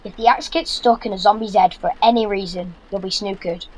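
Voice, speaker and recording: A man's voice: high voice, fairly even intonation, fairly quick, lightly acted, poor audio. A young man playing a practical, bossy British son in an audio drama, speaking in an earnest, instructive way. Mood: brisk, practical. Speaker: actor.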